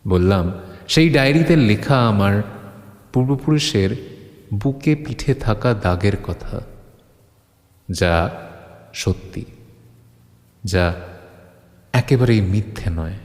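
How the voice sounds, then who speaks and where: A man playing a grave first-person narrator of a horror audio story, solemn and halting, drawing out phrases for suspense. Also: lowish voice, expressive, darkish tone. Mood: grave, ominous. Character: grave male narrator recounting old forbidden horrors to his friend. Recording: long reverb on the voice.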